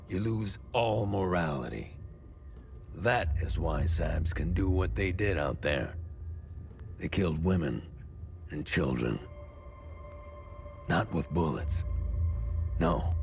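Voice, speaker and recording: A bitter, devout middle-aged man worn down by war. The voice is low and gravelly, slow and grim, with expressive swings in pitch. It sounds like dramatic dialogue from a video game. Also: full character voice. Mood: embittered, haunted. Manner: grim, world-weary, deliberate.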